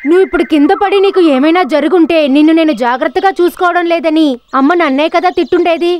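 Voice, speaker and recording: A woman's voice: very high-pitched, quick pace, darkish tone. A woman voicing a young elder brother in a children's cartoon, anxious, nagging and scolding. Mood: worried, scolding. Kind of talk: animated children's cartoon acting.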